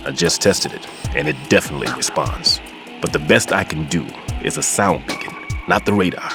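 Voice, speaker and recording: A man playing a grave, remorseful middle-aged bomb disposal veteran in a video game cutscene, speaking in a solemn, deliberate way. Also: rumbling low. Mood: grave, technical. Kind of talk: dramatic game cutscene acting. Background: background music.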